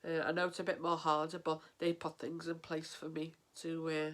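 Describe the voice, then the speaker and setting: An English man vlogging about mental health, talking in an earnest, halting way with lots of fillers and restarts, sniffly and stuffed up from a head cold. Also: a little high, monotone, fairly bright tone. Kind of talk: casual to-camera vlog.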